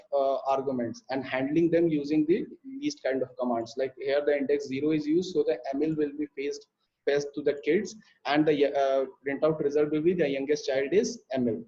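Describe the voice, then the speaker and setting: A man's voice: on the high side, mellow. A young man teaching a live programming lesson, explaining step by step with frequent fillers and restarts. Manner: hesitant, many fillers and restarts while explaining code. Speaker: programming instructor. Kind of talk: live online coding lesson. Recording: muffled, lo-fi live stream audio.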